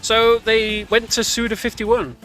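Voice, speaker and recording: A man's voice: high-pitched, level tone, unhurried, a bit bright, faint music behind. A man giving casual, chatty live commentary on a game he is speedrunning, explaining things as he goes. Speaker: speedrunner commentating his own run.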